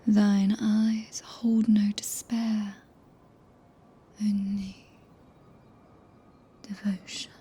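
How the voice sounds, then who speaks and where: A woman with a British accent playing a regal, possessive witch in whispered ASMR roleplay, speaking archaically and imperiously with long pauses, close to the microphone. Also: medium pitch, flat delivery, warm tone, full character voice. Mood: tender, commanding. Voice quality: breathy, whispered. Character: regal, possessive witch, cool yet tenderly commanding. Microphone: close binaural ASMR microphone.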